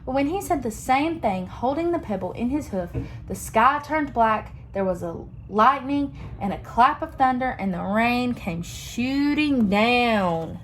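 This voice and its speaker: A young woman reading a picture book aloud to children, expressive and pausing to ask them questions. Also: fairly high pitch, a little hiss or hum, poor audio. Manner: expressive, asks listeners questions. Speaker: children's story reader.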